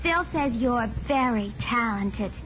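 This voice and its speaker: A high, coy American moll. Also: female voice, expressive, slow pace. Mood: coaxing. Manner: coy, coaxing, naive-sounding. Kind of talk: 1950s radio drama acting. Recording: muffled, noisy vintage radio recording.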